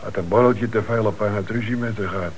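Troubled father, played by a man: working-class, deferential, halting, fairly deep voice. Slightly noisy radio drama. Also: fairly even intonation, lightly acted. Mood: resigned. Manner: hesitant, humble, plain-spoken. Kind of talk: radio play acting.

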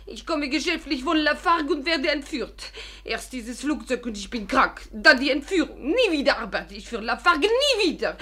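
A woman's voice: sits high, very animated, moderate pace, few pauses, sharp tone, heavily acted. An actress playing a haughty, temperamental young Frenchwoman with a French accent in a 1950s radio play, speaking imperiously and dramatically. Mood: indignant.

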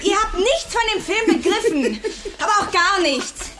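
A high young female voice, an earnest and outspoken schoolgirl in a radio play. She speaks with feeling and moral seriousness. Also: expressive, moderate pace. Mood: indignant. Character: earnest, indignant teenage schoolgirl. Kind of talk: German radio play acting.

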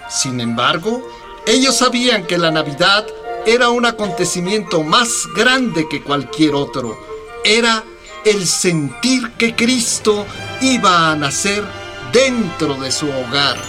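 A man's voice: high voice, very animated, slow pace, over music. A man with a Mexican accent narrating a scripted radio drama.

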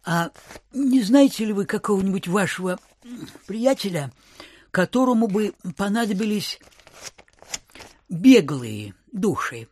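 An actor playing a miserly, suspicious old landowner in an audio drama, scolding, grumbling and muttering. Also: male voice, high voice, very animated, unhurried, pauses often, a little mellow, exaggerated voice. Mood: wheedling. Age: older.

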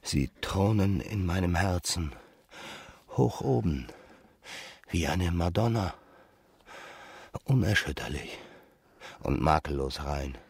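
Radio drama: ardent, suave seducer, very low and unhurried, widely swinging melody, insistent flattery. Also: male voice, no echo, exaggerated voice. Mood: ardent, pleading. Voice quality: husky, very deep. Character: suave, ardent male seducer wooing a married woman.

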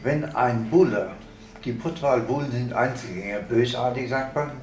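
A man's voice: medium pitch, moderate pace, darkish tone, a little hiss or hum. An older man, a former seaman, recalls the sea in spontaneous, discursive and marvelling speech full of vivid description. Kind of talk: spontaneous spoken reminiscence in a radio feature.

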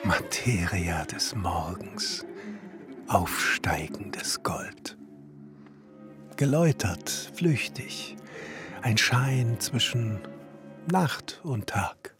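An actor playing a pensive, exacting alchemist and master glassmaker in a literary radio play, speaking in a measured, musing way. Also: male voice, on the low side. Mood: hushed, musing. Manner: measured, musing, at times hushed and breathy. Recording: music behind the voice. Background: music.

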